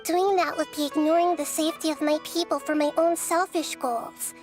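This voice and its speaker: A woman voicing a small childlike goddess of wisdom in a video game, gentle and thoughtful, speaking in an earnest, measured way. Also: sits high, fairly even intonation, over music, natural voice. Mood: earnest, concerned. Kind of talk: video game character acting.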